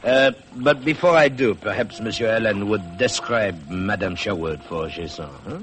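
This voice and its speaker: Wry French first mate, played by a male actor, low voice, genial, vintage radio adventure with faint noise. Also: moderate pace, a bit bright, lightly acted. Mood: teasing. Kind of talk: 1950s radio drama acting. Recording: noisy vintage 1950s radio recording.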